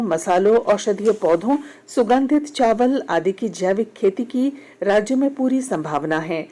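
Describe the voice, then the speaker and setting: A woman's voice: medium pitch, dark tone. A woman with an Indian accent reading a formal, scripted radio news bulletin.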